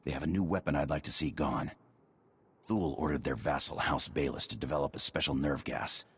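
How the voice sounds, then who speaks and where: An actor playing a paranoid, furtive male spy in a video game, with a gravelly, hushed, clipped delivery. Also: very deep voice, a little fast, heavily acted. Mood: secretive, urgent. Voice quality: very gravelly, raspy.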